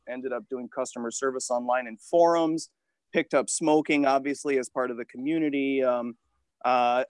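A man around thirty, a podcast guest telling stories in an animated, casual way with the odd swear. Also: mid-range voice, no echo, studio quality. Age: 30s. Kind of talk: casual interview storytelling.

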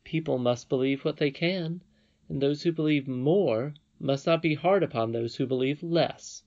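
A woman playing a gentle, loving old grandmother in a storybook, patient and wise. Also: sits low, a little slow, highs cut off. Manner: sweet, patient. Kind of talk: storybook character reading.

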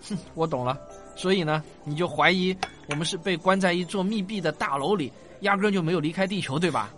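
A man's voice: high-pitched, quick pace, fairly bright tone. An actor playing an affectionate, indulgent father in a science-fiction radio drama, coaxing and exclaiming with sighs. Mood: patient explaining. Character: affectionate, indulgent father humouring his young daughter. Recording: music bed behind the voice.

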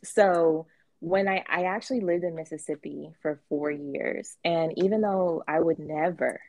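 A woman's voice: low-pitched, a little slow, no echo. A woman host from the West Indies, talking candidly in a casual chat, with faint Caribbean traces in her American accent. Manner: candid, animated storytelling with acted quotes and swearing. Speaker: podcast host.

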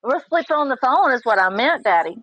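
A woman's voice: high-pitched, big pitch swings, fairly quick, a bit bright, no room sound, rough recording. A woman in her thirties in a casual, flirty chat, teasing and full of pet names. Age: 30s.

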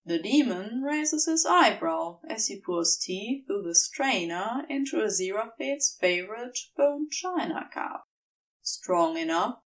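A woman narrates fiction with a fairly high voice and very expressive, swinging intonation. She takes her time over the phrases in a gentle storytelling manner, recorded slightly away from the mic. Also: a little slow, hardly any pauses, distant mic, rough recording. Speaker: podfic narrator.

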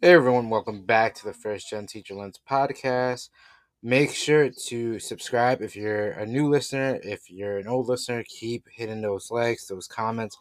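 A man's voice: mid-range voice, moderate pace, no echo. A man hosting a podcast conversationally, often checking in with "right?". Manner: frequently tags statements with "right?". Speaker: podcast host, teacher.

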